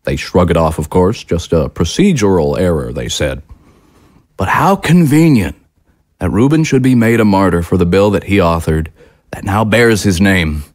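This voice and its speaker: A man's voice: low voice, lively intonation, normal speed, no echo, clean studio sound. A man playing a fervent, aggrieved patriotic political commentator in an audio drama, with an impassioned, oratorical delivery. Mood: insinuating, sardonic.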